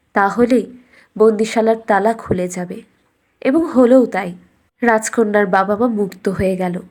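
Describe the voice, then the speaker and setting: Female storyteller for scripted fairy tale narration, fairly high-pitched voice. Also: a little mellow.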